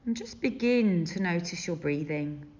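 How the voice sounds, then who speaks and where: A woman leading a guided meditation as a teacher, gentle and soothing, with unhurried phrases and long pauses. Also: fairly low pitch, animated. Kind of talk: guided body scan meditation. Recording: dull, muffled lo-fi sound.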